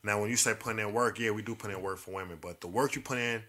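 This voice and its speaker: A man's voice: lowish voice, very fast, bright, forceful tone. A man co-hosting a casual podcast, talking in profane slang and teasing the others. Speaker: podcast co-host.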